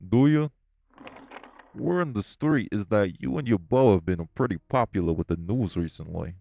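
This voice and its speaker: A man's voice: fairly low pitch, expressive, moderate pace, vocal fry, dark tone, no room sound, studio quality. An actor playing a crude, hot-tempered male gangster boss who runs clubs, blunt and vulgar. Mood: taunting. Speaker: club-owning gangster. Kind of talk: audio roleplay acting.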